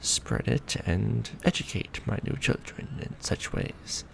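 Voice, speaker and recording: A deeply creaky, gravel-edged vampire lord played by a man speaks in formal, archaic phrases with lively rises and falls. He is proud, centuries old and doting, in a romantic ASMR roleplay with faint noise and ambience behind the voice. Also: slow pace, exaggerated voice, low-quality recording. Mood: proud, dignified.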